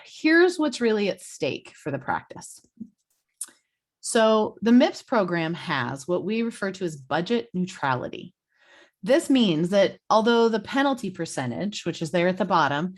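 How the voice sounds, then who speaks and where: A woman giving an explanatory slide presentation as a webinar presenter, in a conversational way. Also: mid-range voice. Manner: conversational, explanatory, checks in with "right?". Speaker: webinar presenter, program expert.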